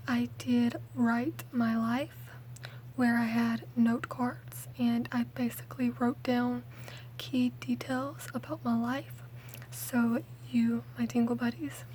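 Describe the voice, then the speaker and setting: A young woman, speaking softly and often whispering in a chatty, hesitant ASMR storytelling style. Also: on the high side, slight background noise, poor audio. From Mississippi, USA. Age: late teens. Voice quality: soft, hushed, often whispered. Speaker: ASMR video creator. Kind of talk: ASMR, rambling personal storytelling.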